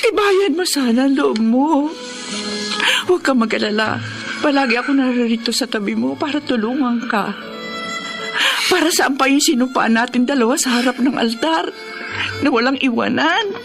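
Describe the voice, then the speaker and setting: A woman's voice: high voice, moderate pace, long pauses. An actress playing a devoted wife in a radio drama, tenderly imploring and tearful as she comforts her sick husband. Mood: tearful pleading. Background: dramatic music.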